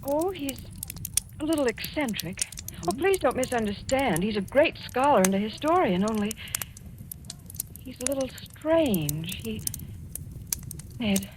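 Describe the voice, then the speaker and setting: A woman's voice: fairly high pitch, very animated, a little slow, long pauses. An actress playing an anxious young Hungarian-American woman in love in a radio drama, pleading and hesitant. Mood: nervous. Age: young adult. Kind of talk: 1940s radio acting. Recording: noisy old radio recording.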